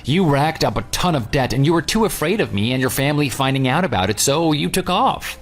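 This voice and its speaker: An actor playing a vengeful jilted ex-fiancé in an audio drama, with a sarcastic, taunting, smug delivery. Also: male voice, a little high, lively intonation, fairly quick, close to an everyday voice. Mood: accusing. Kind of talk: dramatized phone dialogue.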